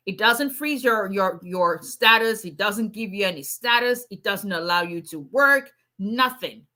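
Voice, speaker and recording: A middle-aged woman with a Nigerian accent, an immigration attorney answering viewer questions in a casual livestream, with a strong, loud voice. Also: mid-range voice. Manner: loud, strong voice, laughs often. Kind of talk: livestream Q&A reading viewer comments.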